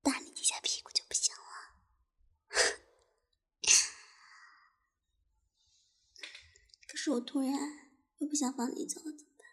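A woman's voice: high-pitched, steady tone, takes it slowly, long pauses, full character voice. A young woman voicing a cruel, taunting tormentor in an intimate audio roleplay, teasing and mocking, often sinking into a whisper. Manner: laughs softly between taunts.

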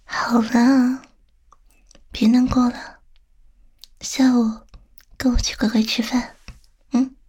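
A woman's voice: fairly high pitch, little pitch movement, a little mellow. A woman playing a teasing, coaxing female boss flirting with a younger employee in an intimate, whispered audio roleplay. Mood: teasing persuasion. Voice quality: breathy, whispery. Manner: slow, coaxing, tender, teasing.

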